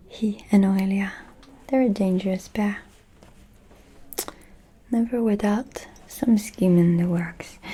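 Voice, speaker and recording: Woman acting as female vampire aristocrat, very breathy whisper, dark soft tone, scheming, seductive, insinuating, ASMR roleplay. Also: medium pitch, low-quality recording. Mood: disdainful, knowing. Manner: slow, deliberate, insinuating with pauses.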